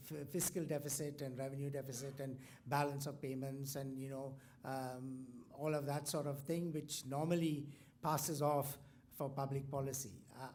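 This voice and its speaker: A man's voice: fairly high pitch, darkish tone, a little hiss or hum. A man from India, a native Tamil speaker, introducing a commencement lecturer in a formal speech full of ums and restarts. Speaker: university host introducing a lecturer. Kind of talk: formal speech with many fillers.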